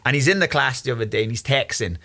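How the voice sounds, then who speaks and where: Welsh man, young adult, bright tense voice, swears a lot, animated storytelling. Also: mid-range voice, fast talker. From Wales. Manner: swearing, animated anecdotes, laughs at own stories. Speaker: guest, MMA fighter. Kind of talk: casual chat, storytelling.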